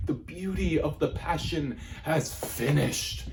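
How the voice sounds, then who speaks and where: A man's voice: on the high side, slow pace. A man performing an arrogant, passionate womanizing nobleman in a theatrical, declamatory classical stage monologue. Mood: grandiose, boastful. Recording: noisy amateur room recording.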